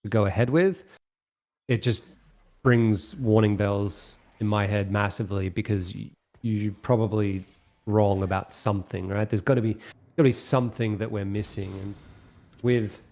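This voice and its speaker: A man leading a project, giving thoughtful, measured answers at an online town hall and slowing down to explain things. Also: fairly low pitch, steady tone, a little mellow. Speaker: project lead answering questions.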